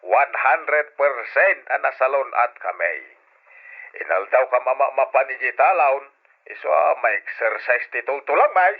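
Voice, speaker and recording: A man's voice: mid-range voice, lively intonation, unhurried, creaky. An actor with an Ilocano accent playing a hearty, doting older farmer father in a radio drama. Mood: hearty, reassuring. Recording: tinny phone-like old radio sound.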